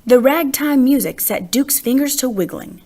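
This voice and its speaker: A woman reading a children's picture book aloud as an expressive narrator, with deliberate phrasing and stressed words. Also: high voice.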